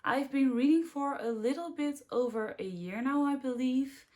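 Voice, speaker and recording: A woman's voice: high-pitched, normal speed, darkish tone. A young woman in her twenties speaks English with a Dutch accent. She talks in a chatty, upbeat, slightly rambling way, like a casual book vlog to her viewers. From Netherlands. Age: 20s. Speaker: book vlogger.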